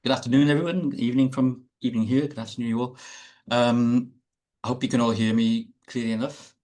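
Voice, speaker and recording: A middle-aged man, an architect, giving a talk over a video call, measured and a little hesitant before reading from a prepared text. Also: mid-range voice, fairly even intonation, a little mellow. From Wales.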